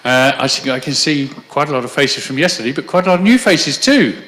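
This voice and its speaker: Bright-voiced man hosting a talk. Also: mid-range voice, lively intonation, brisk. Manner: friendly, chatty, joking asides. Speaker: host introducing the speaker. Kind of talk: casual public introduction. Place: lecture room at a meditation centre.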